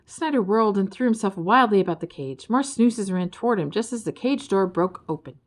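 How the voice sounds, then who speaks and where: A woman reading a children's story aloud as an expressive narrator. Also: mid-range voice, brisk. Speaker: audiobook reader.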